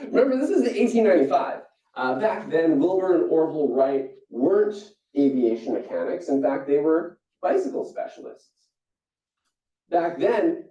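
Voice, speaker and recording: A young man from Singapore giving a keynote talk, telling stories in a lively way with many restarts. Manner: storytelling, animated with jumps in pitch and frequent restarts. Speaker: keynote speaker. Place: conference hall. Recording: echoey hall, distant and dull.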